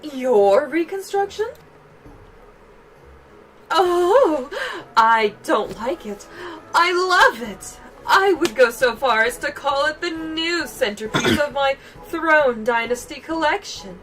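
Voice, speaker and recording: A woman voicing a gracious, haughty noble lady in a video game, genteel and measured. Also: high-pitched, lively intonation, fairly bright tone, heavily acted, poor audio. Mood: delighted. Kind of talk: video game dialogue read aloud.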